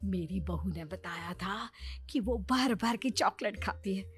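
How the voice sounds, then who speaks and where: A woman's voice: medium pitch, unhurried, faint music behind, voice pushed down, close to an everyday voice. A woman voicing a chatty, gossipy middle-aged mother-in-law in a cartoon story, confiding her secrets in a conspiratorial way.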